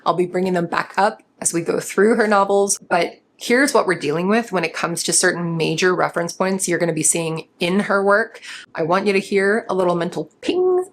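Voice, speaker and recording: A woman's voice: on the low side, moderate pace, a little hiss or hum. A woman book reviewer delivering a scripted monologue with wry, playful asides.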